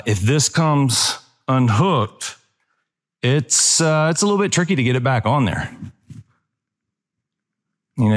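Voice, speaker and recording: A man in his forties talks at an even, middle pitch as he reviews a backpack on a forest trail. He keeps it loose and chatty, with plenty of "you know" and "uh". The sound is an action camera held at arm's length outdoors. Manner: relaxed, conversational, many fillers. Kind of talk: casual gear review vlog. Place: wooded hiking trail outdoors. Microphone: handheld action camera at arm's length.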